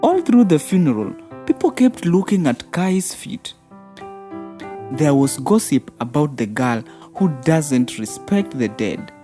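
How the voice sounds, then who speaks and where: A woman's voice: low voice, big pitch swings, a little slow. A woman narrating a scripted fairy tale for children as a storyteller. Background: soft background music.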